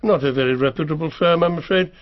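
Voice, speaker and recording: An actor playing an elderly, proper English family solicitor, speaking formally and precisely in a radio drama. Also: male voice, fairly high pitch, muffled recording, lightly acted. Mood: measured, disapproving. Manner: formal, measured, precise, faintly disapproving.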